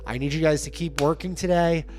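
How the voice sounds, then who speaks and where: A man with a hoarse, fading voice chatting casually on a livestream, upbeat and talking straight to his viewers. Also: a little high, steady tone, brisk, darkish tone, a little hiss or hum. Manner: upbeat, hype-driven, addresses viewers directly. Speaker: livestreaming app developer.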